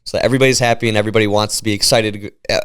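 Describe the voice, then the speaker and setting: A man's voice: fairly low pitch, steady tone, very fast, a bit bright, smooth. A man talking as league commissioner in a casual podcast chat, congested from a cold, explaining things in an upbeat way.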